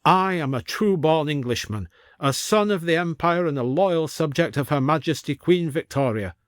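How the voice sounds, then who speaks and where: A man voicing a pompous, formal Victorian English gentleman official, proud and strict about rules, in an audiobook story. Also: fairly high pitch, expressive, normal speed, dry recording, studio quality, pitched above the performer's own voice, lightly acted. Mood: indignant, proud.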